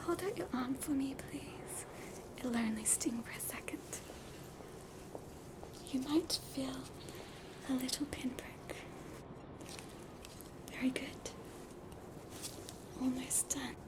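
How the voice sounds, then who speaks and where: A woman with an Australian accent whispering a gentle, reassuring ASMR roleplay as a spooky hospital doctor. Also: sits high, slow, long pauses, noisy background. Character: soothing, spooky hospital doctor treating hauntings. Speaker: ASMR performer playing a doctor.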